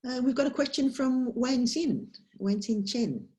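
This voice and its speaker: A woman's voice: high-pitched, expressive, unhurried, dark tone. A woman moderating a question session in an informal style.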